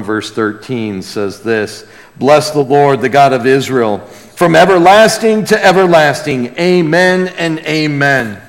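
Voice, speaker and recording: Slow-paced male preacher in reverberant hall. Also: on the high side. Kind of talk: sermon with scripture reading and call-and-response. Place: large church hall before a congregation. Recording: reverberant large hall, amplified live sermon.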